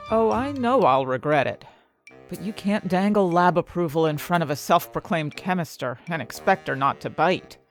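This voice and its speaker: An earnest, slightly anxious woman chemist in a fantasy audio drama. Her voice sits on the low side and moves around in lively swings, confiding and expressive, with music underneath. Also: moderate pace. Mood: guarded, intrigued. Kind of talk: first-person scripted narration in fantasy audio drama.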